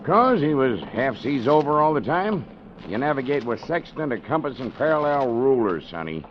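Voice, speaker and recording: An actor playing a gruff, chatty old harbor boatman with drawling nautical slang, in 1940s radio style. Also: medium pitch, big pitch swings, normal speed, lightly acted. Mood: salty, chatty. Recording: muffled, noisy 1940s radio recording.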